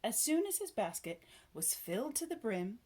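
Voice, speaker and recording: Woman reading a storybook with animated intonation. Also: on the high side. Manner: expressive, measured, dramatic phrasing. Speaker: storybook reader. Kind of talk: expressive scripted narration of a children's story.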